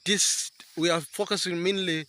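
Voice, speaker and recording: Männlicher TV-Moderator, hell und hoch, spricht im Freien zu den Zuschauern. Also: male voice, high voice, steady tone, moderate pace, bright, forceful tone. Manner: presenter-like, addressing viewers. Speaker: TV interviewer. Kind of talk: outdoor TV interview. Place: outdoors by a river in rural bushland.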